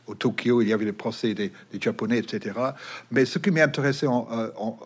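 A man's voice: mid-range voice, fast, slightly rough. British man speaking with many restarts. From Britain. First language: English. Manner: hesitant, frequent restarts and fillers. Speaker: historian guest. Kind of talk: interview.

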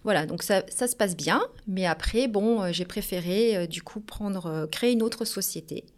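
A woman, telling her career story as a guest in a lively way, with frequent hesitations. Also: medium pitch, normal speed. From Paris region, France. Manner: lively, hesitant with many fillers. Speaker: company director, guest. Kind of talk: casual interview storytelling.

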